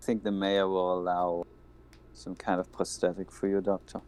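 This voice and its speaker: A man's voice: sits low, completely flat delivery, normal speed, a little mellow, no room sound. A man playing a tabletop horror game, speaking briefly and laid-back, in and out of character. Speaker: player.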